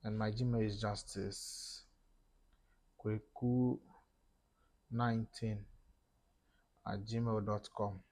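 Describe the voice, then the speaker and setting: A young man from Ghana with a Ghanaian English accent, talking viewers through a screen-recorded tutorial step by step, with fillers and pauses. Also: fairly low pitch, flat delivery, darkish tone. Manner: casual explaining, talks through each step, halting with fillers. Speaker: tech YouTuber. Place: small room indoors.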